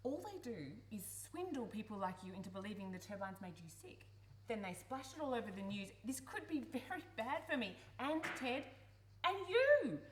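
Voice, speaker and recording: Actress as high-voiced exasperated daughter, echoey noisy recording. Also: female voice, sing-song, moderate pace. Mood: sarcastic, angry. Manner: exasperated, sarcastic, emphatic. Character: suspicious, exasperated grown daughter, sharp-tongued woman. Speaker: actor in a radio play. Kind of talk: live radio play acting.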